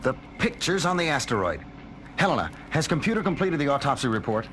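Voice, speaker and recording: Resolute space commander, animated. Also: male voice, a little high, fairly quick, noisy background. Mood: tense, defiant. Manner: firm, questioning, urgent. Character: resolute male space base commander, suspicious and bold. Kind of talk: 1970s audio drama acting. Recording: music under voice.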